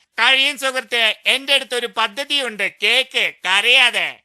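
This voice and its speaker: A sly, clever jackal from an animated moral tale, voiced by a woman. The voice is extremely bright and forceful, coaxing and reassuring like a friend with a scheme. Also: a little high, a little slow, clear, resonant tone. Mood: reassuring, scheming. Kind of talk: animated children's moral story.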